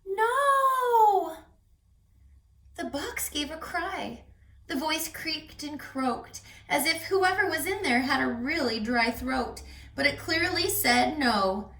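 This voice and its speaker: A woman reading aloud to children as a reading teacher, expressive and teacherly, explaining and asking questions. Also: high-pitched, slow. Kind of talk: children's read-aloud with questions to young listeners. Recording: echoey room, distant mic, music.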